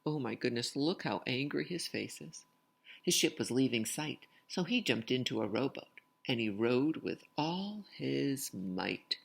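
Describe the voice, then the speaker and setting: A woman's voice: a bass voice, lively intonation, unhurried, creaky, slightly rough. A woman reading a picture book aloud expressively, with casual asides to young listeners. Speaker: read-aloud storyteller.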